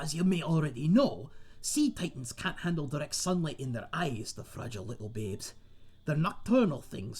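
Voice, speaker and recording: A man's voice: a little high, a little mellow, breathy edge, full character voice. A man playing a friendly, rambling tavern owner and seasoned adventurer, telling tales to one listener in a folksy, chatty way in a fantasy audio roleplay. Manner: chatty, folksy, dramatic pauses, calls listener mate.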